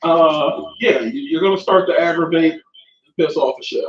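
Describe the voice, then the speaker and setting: A man's voice: on the high side, normal speed. A man who is the show's chef and co-host, bluntly griping about kitchen workers. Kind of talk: casual podcast chat. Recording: muffled, roomy, distant mic.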